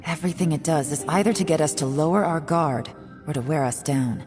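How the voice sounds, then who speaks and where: A woman voicing a secretive, composed young woman agent in a video game, speaking in a measured, guarded way with heavy sighs. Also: low voice, over music, lightly acted. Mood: grave.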